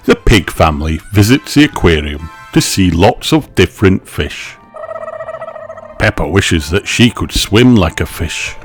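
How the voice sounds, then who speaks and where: A man reading a children's storybook aloud with expressive, deliberate phrasing, music playing behind him. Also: low-pitched. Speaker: audiobook reader. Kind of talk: scripted children's storybook narration.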